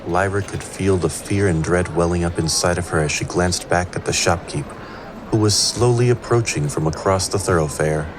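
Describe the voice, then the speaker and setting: A man's voice: low-pitched, noisy background, natural voice. A man performing scripted narration of a fantasy tale as an all-knowing storyteller. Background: prominent music.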